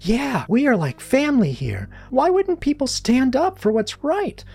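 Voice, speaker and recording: A man's voice: very high voice, sing-song, measured pace, gravelly, a little mellow, slightly rough, dry recording, voice pushed up, heavily acted. A man voicing naive, indignant school students in a story, protesting earnestly. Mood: eager, indignant. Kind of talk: scripted story dialogue.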